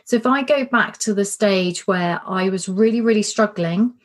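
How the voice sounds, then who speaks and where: Middle-aged woman coach chatting through a workshop, candid, repeats words, voice a bit hoarse. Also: mid-range voice, normal speed. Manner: warm, candid, self-reflective, repeats words for emphasis. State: slightly losing her voice. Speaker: coach and podcast host. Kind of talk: casual spoken workshop talk.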